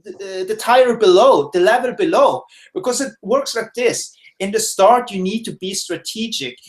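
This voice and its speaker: A man from Norway, a guest expert giving casual, filler-heavy answers in a webinar interview from his home office. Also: sits high. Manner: casual, chatty, lots of fillers and restarts.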